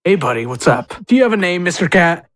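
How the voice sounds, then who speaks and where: A man's voice: high voice, big pitch swings, fast, fairly bright tone. A man playing a tabletop role-playing game, chatting casually and joking in character.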